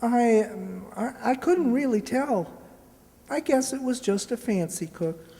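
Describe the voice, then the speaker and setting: A woman's voice: fairly high pitch, animated, unhurried, gravelly, dark tone. A woman playing a polite, earnest poor boy in an amateur radio play, hesitant and deferential. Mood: respectful, hesitant. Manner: deferential, hesitant, eager to please. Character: polite, earnest, humble poor boy seeking work in London. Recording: echoey hall, long reverb.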